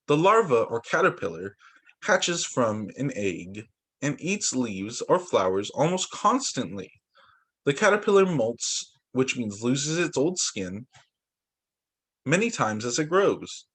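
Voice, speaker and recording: A young male teacher reads picture books aloud over a video call, his slightly high voice rising and falling in lively swoops. There is a faint husky edge to his voice, and he keeps stopping to add small surprised comments. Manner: reads aloud with asides and exclamations. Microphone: computer mic on a video call.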